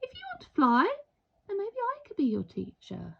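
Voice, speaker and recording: A woman voicing a friendly, earnest little boy in a gentle, encouraging storybook character voice. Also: high-pitched, huge pitch swings, normal speed, vocal fry, muffled recording, voice pushed up, full character voice.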